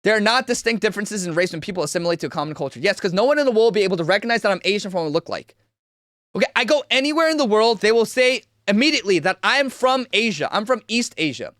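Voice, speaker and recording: A man's voice: high voice, animated, very fast, a bit bright, no echo, clean studio sound. A young man of Korean origin giving a heated, repetitive livestream commentary rant as host. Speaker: streamer host.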